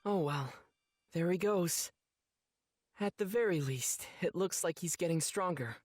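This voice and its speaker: A man's voice: high voice, measured pace, darkish tone, dry recording, clean studio sound. A man voicing a kind-hearted teenage boy swordsman in an anime game, sincere and earnest. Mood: earnest, reflective. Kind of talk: anime game voice acting, reflective narration.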